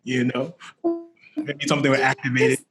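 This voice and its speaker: A man hosting a podcast interview in a relaxed, easygoing way, laughing often and leaning on "you know". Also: medium pitch, fairly quick, music in the background. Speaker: podcast host. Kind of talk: casual interview chat.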